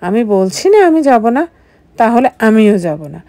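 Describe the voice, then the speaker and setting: Woman reading a doting young husband, teasing and coaxing, mellow, dry sound. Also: a little high, very animated, a little slow, no room sound. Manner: playful, coaxing.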